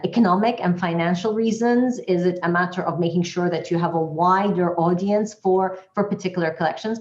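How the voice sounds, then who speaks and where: A woman from Iraq moderating a roundtable discussion with measured, diplomatic and somewhat hesitant phrasing. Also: lowish voice. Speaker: panel moderator. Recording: roomy, dull lo-fi conference audio.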